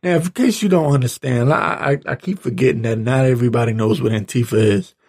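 A man with a raspy, hoarse voice giving an informal opinion rant as a commentator, halting, blunt and exasperated. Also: mid-range voice, darkish tone. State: hoarse, clearing his throat. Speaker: political commentator reading tweets and articles.

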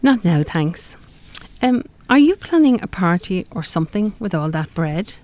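A refuge resident in a radio drama, with a fairly low voice. She is dry and teasing, quick to question. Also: very animated, darkish tone. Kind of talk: live radio play acting.

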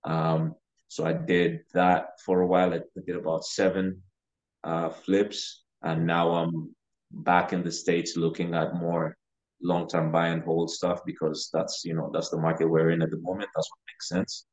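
A man's voice: low voice, unhurried. A young man from Uganda, a real estate investor, talking casually as a podcast guest with many fillers. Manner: relaxed, with frequent fillers like "you know" and "uh". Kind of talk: casual interview talk.

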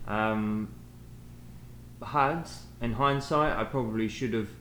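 A man's voice: lowish voice, slow pace, fairly bright tone. Hesitant British man in an echoey room. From England. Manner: hesitant, many fillers and restarts. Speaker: guest, sales manager. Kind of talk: conversational podcast interview. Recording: echoey room, faint noise.

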